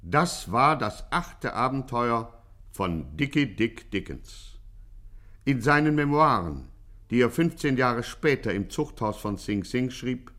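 A man with a medium-pitched voice narrates in the manner of a 1950s radio serial. His delivery is formal and scripted, with a mock-dramatic announcer's flourish. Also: fairly bright tone.

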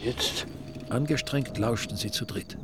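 A man narrating a suspenseful rural crime story in a scripted radio drama, with a creaky voice. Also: medium pitch, unhurried, heavy background noise, lightly acted. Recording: music under the voice. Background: music.

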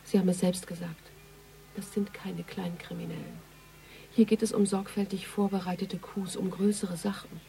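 An actress playing a sharp-minded, pensive woman investigator in a radio crime drama, speaking deliberately. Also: mid-range voice, flat delivery, creaky, natural voice. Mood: grave, subdued. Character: brooding, sharp-minded female investigator on a case.

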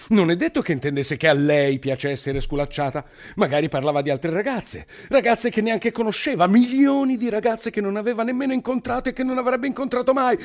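A man's voice: high-pitched, heavily acted. An actor playing an obsessive, perplexed man in a theatrical radio monologue, piling up insistent rhetorical questions. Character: obsessive, perplexed man spiralling over a half-remembered story.

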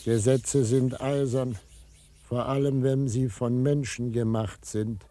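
A man's voice: medium pitch, fairly even intonation, slow pace, natural voice. An actor playing a stern, fatalistic male onlooker in a 1950s radio play, grave and sententious. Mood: grim, resigned.

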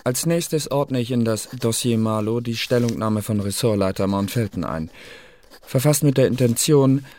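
An actor playing a dry, pedantic secret service clerk who narrates dossier notes in stiff officialese in a radio drama. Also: male voice, medium pitch, moderate pace, a little mellow, slight background noise, close to an everyday voice. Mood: dryly official.

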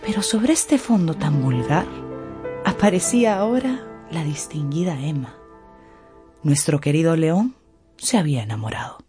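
A woman giving scripted, expressive narration for a radio novel. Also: sits low, normal speed, soft background music. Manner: expressive storytelling. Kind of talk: scripted dramatized audiobook narration.

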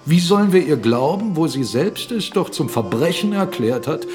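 An actor playing a blunt, argumentative captain and mill owner in a German radio drama, speaking emphatically. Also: male voice, on the high side, lively intonation, moderate pace, over music, natural voice. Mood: defiant, heated. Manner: argumentative, emphatic, rhetorical questions. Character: blunt, defiant male mill owner and captain, critical of church.

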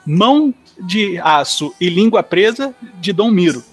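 A man's voice: high-pitched, animated, a little slow, husky, soft background music. A Brazilian man talking as a podcast panelist in a slangy, opinionated, joking way. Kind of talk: casual podcast chat.

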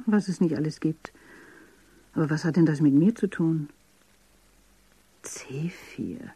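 A woman's voice: sits low, dark tone, husky, no room sound. An actress playing a puzzled, self-doubting professional woman in a dystopian radio drama, musing as if talking to herself. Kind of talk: intimate radio drama acting.

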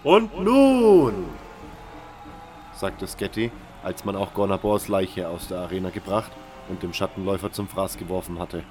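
A man's voice: low voice, flat delivery, a little fast, few pauses. A man reading scripted first-person fantasy narration as the narrator of an audio drama. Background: music.

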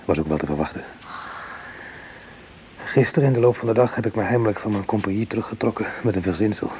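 An actor playing a weary, protective soldier corporal in a Dutch radio play, speaking in earnest, halting, soothing phrases. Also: male voice, fairly low pitch, mellow, close to an everyday voice. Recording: noisy old radio play recording.

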